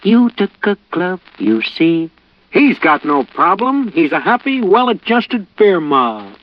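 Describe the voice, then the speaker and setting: A man's voice: high voice, sing-song, takes it slowly, exaggerated voice. A male actor playing a pompous, contented officer in a comic radio commercial. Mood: pleased. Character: pompous, contented talking beer mug police officer. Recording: dull old radio recording.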